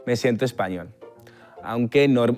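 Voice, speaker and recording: A man's voice: mid-range voice, measured pace, darkish tone, soft background music. A man adopted from Russia speaking reflectively about himself in a documentary interview. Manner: reflective, self-searching.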